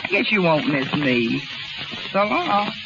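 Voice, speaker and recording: A woman's voice: low-pitched, sing-song, heavily acted. Breezy scheming carnival woman, noisy. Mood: breezy, teasing. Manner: brisk, slangy, knowing. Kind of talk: 1930s radio drama acting. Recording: noisy muffled 1930s radio recording.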